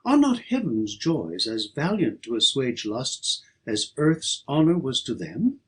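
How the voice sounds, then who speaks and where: A man reading poetry aloud as an audiobook, in a declamatory, measured and emphatic dramatic style. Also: medium pitch, very animated, fairly bright tone, husky. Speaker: audiobook reader.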